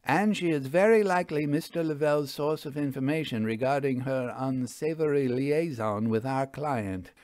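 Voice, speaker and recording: A man's voice: mid-range voice, normal speed, few pauses, darkish tone, no echo, close to an everyday voice. A man voicing a forthright, wry woman ghost investigator in a storybook, with emphatic old-fashioned formal diction. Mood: matter-of-fact.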